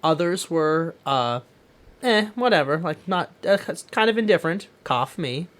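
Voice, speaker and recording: A man's voice: fairly high pitch, expressive, measured pace, fairly bright tone. A man co-hosting a podcast, chatting casually about pop culture in an opinionated, emphatic way. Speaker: podcast co-host.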